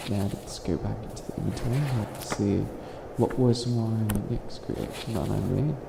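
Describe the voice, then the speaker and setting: A man's voice: on the low side, moderate pace, gravelly. Guy doing a wannabe evil witch for asmr roleplay, stammery and dramatic, kinda flustered, roomy echo, noisy background. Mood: proud, theatrical. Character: flustered self-styled evil witch, hopelessly helpful, theatrical. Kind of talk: ASMR character roleplay, comedic monologue. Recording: echoey room with audible background noise.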